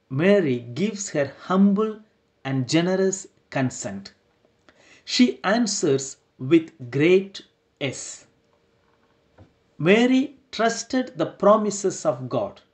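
Man, young priest, slow measured sermon, rhetorical questions. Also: high-pitched, a little mellow.

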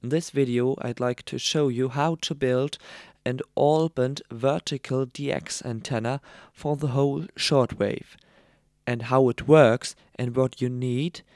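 A man with a German accent, a native German speaker, presenting a how-to narration with halting, hesitant non-native phrasing. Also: medium pitch, a little mellow, no echo, clean studio sound. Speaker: tutorial presenter. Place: voice-over, dry close recording.

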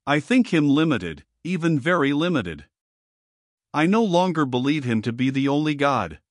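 A man voicing a calm, haughty fallen angel. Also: fairly high pitch, dry recording, studio quality. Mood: impassive. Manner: calm, impassive, measured. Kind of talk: audiobook character reading.

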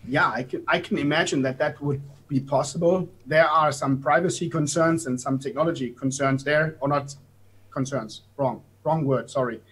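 A man with a German accent speaks over a video call from an office, his voice sitting a little high for a man. He explains ideas patiently, piling up examples with small hesitations. He sounds like an invited guest on an interview stream. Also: moderate pace, fairly bright tone, poor audio. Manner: explanatory, gestures while talking, some hesitant fillers. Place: office room. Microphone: video call webcam.

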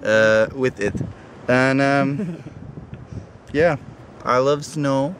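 A man's voice: mid-range voice, very slow, fairly bright tone. A man in his twenties from Sweden chatting casually in a hesitant, joking way. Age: 20s. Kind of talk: casual chat. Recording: faint outdoor wind and vehicle noise.

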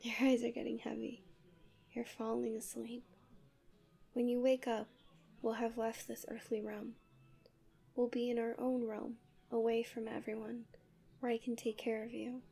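A woman playing a tender, possessive guardian angel in love with a human, in an intimate ASMR roleplay with soft, soothing, often breathy speech. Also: a little high, level tone, measured pace, pauses often, heavily acted.

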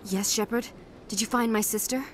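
A woman playing a composed, calculating official in a video game, speaking with formal, measured politeness. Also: fairly high pitch, fairly even intonation, slight background noise, natural voice. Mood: coolly polite.

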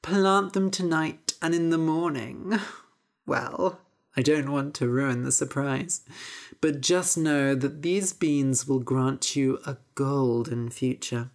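A woman voicing a strange, sly old female witch who speaks slowly and coaxingly, drawing out her words. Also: very deep voice, exaggerated voice.

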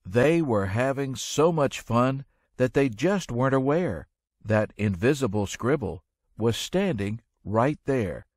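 Guy reading a rhyming kids picture book, really unhurried and expressive, super dry sound. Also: mid-range voice, no room sound. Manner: expressive, measured storytelling. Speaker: storybook narrator. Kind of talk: rhyming children's read-aloud narration.

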